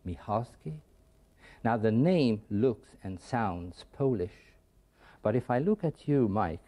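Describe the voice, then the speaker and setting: A man's voice: medium pitch, mellow. A man hosting an English lesson show from a school studio, quizzing his students in an upbeat, coaxing way. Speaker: English teacher and show host.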